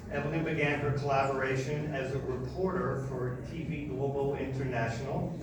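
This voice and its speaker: Halting man introducing speakers, echoey hall. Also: mid-range voice, distant mic, heavy background noise. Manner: halting, with repeated restarts. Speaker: ceremony host introducing speakers. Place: large hall. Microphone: PA heard from back of room.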